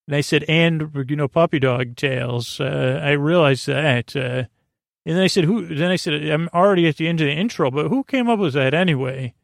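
A man hosting a bedtime podcast, rambling whimsically in a hesitant, halting way, with stammers and trailing tangents. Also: on the high side, vocal fry, no room sound, clean studio sound. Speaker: podcast host.

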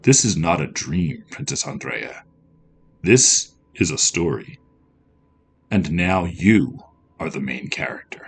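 A man voicing a calm rabbit-faced trickster spirit who speaks in a cryptic, deliberate manner. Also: deep voice, a little hiss or hum, exaggerated voice. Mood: ominous.

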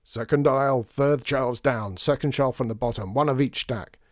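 Man acting a booming, forcedly jolly shopkeeper. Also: moderate pace, dry recording, clean studio sound, lightly acted. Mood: bellowing. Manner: bellowing, theatrical flourish, forced cheer. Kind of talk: audiobook storytelling.

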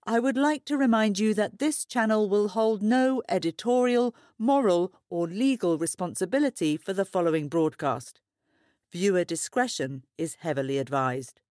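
An actress playing a composed, grave news anchor in an audio drama, presenting in a formal, measured way. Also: female voice, mid-range voice, darkish tone, dry recording, studio quality, natural voice. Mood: solemn.

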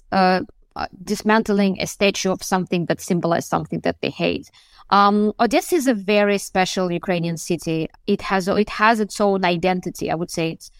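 A woman's voice: medium pitch, no room sound. Woman on a remote call, dry sound. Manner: thoughtful, searching, frequent restarts. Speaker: foreign correspondent guest. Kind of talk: news podcast interview answer.

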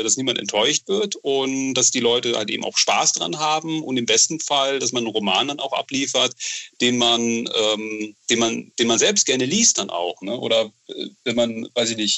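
A man talking casually as an author being interviewed, halting and repeating words, often adding little tag questions. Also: mid-range voice, creaky, bright, forceful tone. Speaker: interview guest, novel author.

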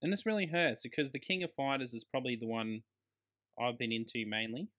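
A man's voice: medium pitch, fairly quick, a bit bright. A man co-hosting a podcast, chatting casually about films as an exasperated fan, with slang and swearing. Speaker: podcast co-host. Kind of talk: casual film review chat.

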